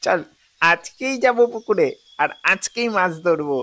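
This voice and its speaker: A woman voicing a mischievous, eager young boy in an animated audio story, cheeky, bossy and excitable. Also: on the low side, a little slow, dry recording. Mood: scheming glee.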